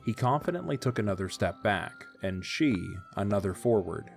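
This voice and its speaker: A man narrating an audio drama in a measured storytelling delivery. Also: lowish voice, animated, vocal fry, faint music behind.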